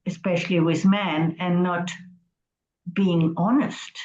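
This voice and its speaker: A woman's voice: on the low side, steady tone, takes it slowly, darkish tone. Hesitant woman on a roomy, dull video call. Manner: hesitant, reflective, restarts words. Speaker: class participant.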